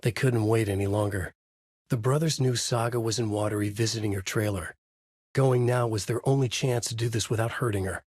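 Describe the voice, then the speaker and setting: Haunted horror writer for a game, low husky voice, grim and measured, dry booth. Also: male voice, fairly even intonation, a little mellow, no room sound. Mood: brooding. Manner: brooding, measured storytelling. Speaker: video game character narrating. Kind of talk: game voice lines, dark narration. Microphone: studio mic.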